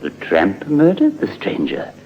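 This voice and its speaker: A man's voice: sits low, wildly swooping pitch, measured pace, exaggerated voice. A man acting a mad, scheming old uncle in an old-time radio drama, with an unctuous, theatrical way of speaking. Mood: gleefully plotting. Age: older. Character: mad, sinister old uncle scheming with his brother for gold. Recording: muffled, band-limited old radio recording.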